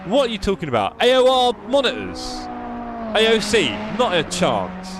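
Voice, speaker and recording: A man's voice: high-pitched, huge pitch swings, moderate pace, background noise. A man with a London accent chatting and joking with his live stream chat while playing a racing game. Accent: London English. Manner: chatty, jokey, reads chat aloud.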